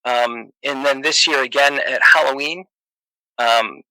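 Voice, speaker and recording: A man chats loosely on a podcast call, joking and laughing between thoughts and dropping plenty of fillers. His voice is bright and forward. The sound is dry and close to the mic. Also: medium pitch, fairly even intonation, a little slow, smooth, no room sound, poor audio. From Massachusetts, USA. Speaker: podcast guest. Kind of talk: casual chat.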